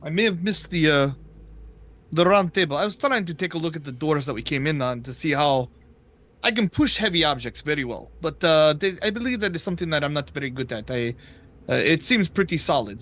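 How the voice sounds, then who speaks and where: A man speaking in character at a tabletop game, hesitant and filling pauses with uhs. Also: on the high side, a bit bright. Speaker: player. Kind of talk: in-character tabletop roleplay chat.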